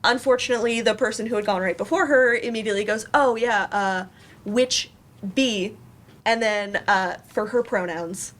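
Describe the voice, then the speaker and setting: Mid-pitched woman sharing an anecdote. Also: moderate pace, fairly bright tone. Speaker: theater panelist. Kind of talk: informal personal storytelling. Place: indoor library event room.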